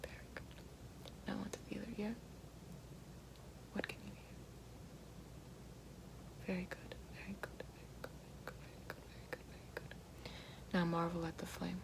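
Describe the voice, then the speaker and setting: A woman playing a calm, gentle witch in an ASMR roleplay, whispering slowly and soothingly right at the mic and repeating soft praise. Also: lowish voice, vocal fry. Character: calm gentle female witch guiding elements. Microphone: close binaural-style mic. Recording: noisy hiss behind voice.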